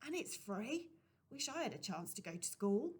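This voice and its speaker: Woman doing gentle poor young street woman. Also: a little high, sing-song, normal speed, breathy edge, voice pushed up.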